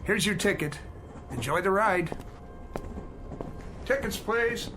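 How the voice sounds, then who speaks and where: A man's voice: high-pitched, lively intonation, slow pace, music in the background. A man playing a friendly, chatty evening train conductor in a radio drama, with courteous routine patter. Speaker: actor.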